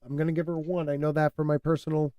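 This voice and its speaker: A man hosting a casual podcast, stuffed up and losing his voice, talking in an animated, opinionated way and laughing often. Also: fairly high pitch, fast talker, no room sound. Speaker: podcast host.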